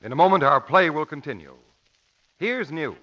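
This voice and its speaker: Male announcer, higher-pitched, lively intonation, dramatic 1940s ad style, dull old radio sound. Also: moderate pace.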